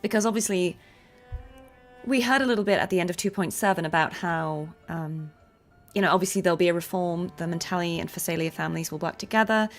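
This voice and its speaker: A woman's voice: lowish voice, fairly quick, faint music behind. A woman streamer chatting casually over gameplay, with informal, playful asides. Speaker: streamer and voice actress. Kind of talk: casual livestream chat with gameplay commentary.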